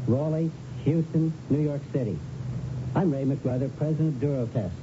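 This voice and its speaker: A man's voice: fairly high pitch, normal speed. An American man, a company president, reading a scripted radio advertisement in an earnest, declarative way. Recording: muffled, noisy vintage radio.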